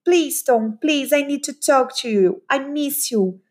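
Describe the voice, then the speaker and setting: A woman reads fiction dramatically. Her voice sits fairly high and she takes it very slowly. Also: a little mellow. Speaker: participant reading aloud. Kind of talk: dramatic novel reading.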